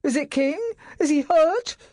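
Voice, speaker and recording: A woman voicing a grief-stricken man who shouts and bellows in rage over his dead dog. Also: high voice, unhurried, no echo, pitched above the performer's own voice. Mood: anxious. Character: enraged grieving man, dog owner.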